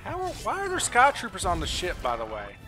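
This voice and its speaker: A man's voice: sits high, wildly swooping pitch, fairly quick, sharp tone. A man in his thirties giving casual, jokey, exclamatory commentary while streaming a game. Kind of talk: casual reactive gameplay commentary. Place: indoor room, streaming setup. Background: game music and effects.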